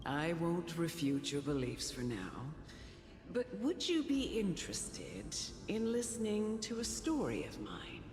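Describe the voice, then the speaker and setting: A woman playing a calm, composed storyteller in a video game drama, gently formal and deliberate. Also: fairly low pitch. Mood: measured. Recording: echoey hall reverb, music underneath. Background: game music.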